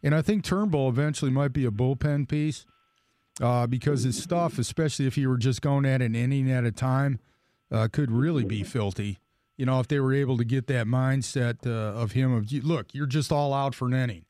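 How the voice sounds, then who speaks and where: A man's voice: mid-range voice, fairly quick, warm tone. A man talking baseball on a radio show, in a casual, rambling, emphatic way. He breaks off mid-sentence and restarts often. The studio mic sounds close and dry, with no room echo. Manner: rambling, self-interrupting, emphatic. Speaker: sports radio host. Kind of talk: casual sports talk radio.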